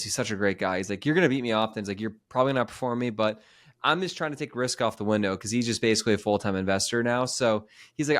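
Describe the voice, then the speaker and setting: Fast-talking man in his thirties. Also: fairly low pitch. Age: 30s. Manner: quick, rambling and animated, with many restarts. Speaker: podcast host. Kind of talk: casual interview chat.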